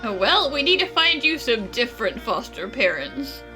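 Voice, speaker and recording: Bright, animated, upbeat young friend. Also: female voice, on the high side, moderate pace, faint music behind, poor audio. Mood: upbeat, encouraging. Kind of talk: video game voice acting.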